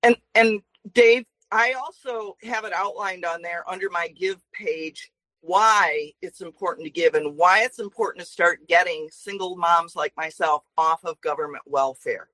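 A woman in her forties speaking as a guest, earnest and talkative with many fillers. Her voice is bright and forward, recorded dry with no room sound. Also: lowish voice, a little slow, dry recording, poor audio. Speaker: guest, traveling minister. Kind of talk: interview guest talk.

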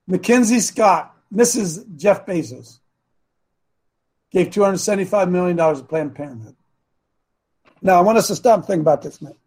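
An older man hosting a talk show, reading and commenting in a rambling, preachy way, his raspy voice phlegmy and broken by throat clearing. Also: high-pitched, warm tone. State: phlegmy, coughing. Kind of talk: casual political commentary.

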